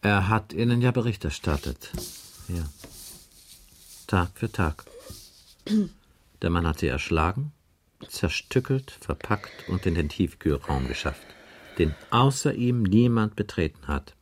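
An actor playing a blunt, world-weary police inspector in a radio crime drama, speaking in a matter-of-fact, sardonic and deliberate way. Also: male voice, low voice, animated, a little hiss or hum. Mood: grim. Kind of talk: 1980s German radio crime drama acting.

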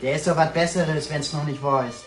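A man's voice: a little high, fairly even intonation, moderate pace, fairly bright tone, faint music behind, lightly acted. An actor playing a chatty, needling young male inmate in colloquial, jeering radio drama dialogue. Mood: teasing.

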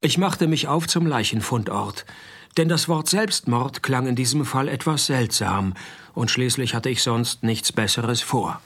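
An actor playing a wry, deadpan male private detective who narrates and talks through a noir parody radio play. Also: mid-range voice, measured pace, husky, exaggerated voice. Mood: deadpan narrating. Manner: dry, sardonic, laconic.

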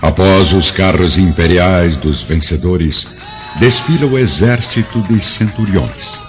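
A man's voice: sits low, slow pace. A man with a Brazilian accent narrating a historical radio serial in a solemn, theatrical way. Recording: dull lo-fi recording with music underneath.

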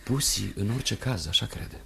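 An actor playing an earnest, carefree young engineer engaged to be married, in a radio theatre style. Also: male voice, fairly low pitch, animated, gravelly, fairly bright tone. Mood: sincere.

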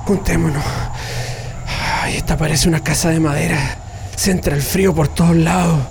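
An actor playing a frightened, protective Chilean railway worker held prisoner, hushing and stammering in a radio drama. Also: male voice, on the high side, steady tone, moderate pace, slight background noise, natural voice. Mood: subdued.

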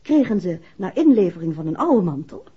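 Husky composed wife in an old 60s radio play, lively intonation, muffled recording. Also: female voice, lowish voice, fairly quick, exaggerated voice. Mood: explaining. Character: composed, caring detective's wife recounting events to her husband. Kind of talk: 1960s radio play acting.

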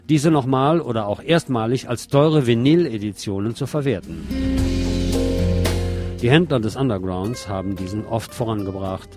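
A man's voice: mid-range voice, darkish tone. A man reading a written article aloud as a radio host, in a scripted narration style.

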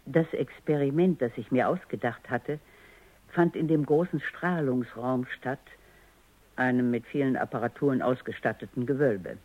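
An actress playing a stern, coolly analytical robot psychologist in a radio drama, precise, commanding and didactic. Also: female voice, rumbling low, moderate pace. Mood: measured narration. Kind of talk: German radio drama acting. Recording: muffled, dull radio drama recording.

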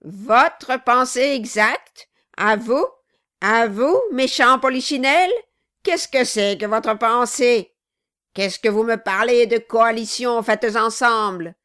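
A woman voicing a cruel, violent male dwarf in an audiobook, loud and mocking. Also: a little high, measured pace, clear, resonant tone. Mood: furious. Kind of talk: audiobook reading.

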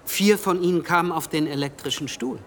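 A man's voice: on the high side, a little fast, a bit bright, close to an everyday voice. An actor playing a curious, polite, somewhat naive young man with a reserved, questioning manner in a German radio drama. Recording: faint ambient noise.

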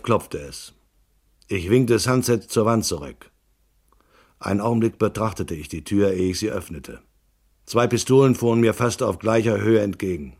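A man's voice: on the low side, darkish tone, husky. An actor playing a cool, tough private detective in a noir radio drama, laconic and matter-of-fact as he narrates and talks. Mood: cool, narrating. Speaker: narrator and lead character.

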